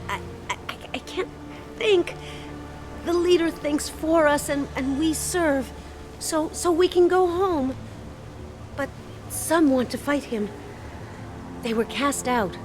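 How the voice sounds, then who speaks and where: A dazed, devoted castaway woman in a sci-fi game. She speaks high and hesitantly, in simple halting phrases. Music plays clearly behind her. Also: expressive. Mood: dazed, fearful. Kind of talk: video game voice acting.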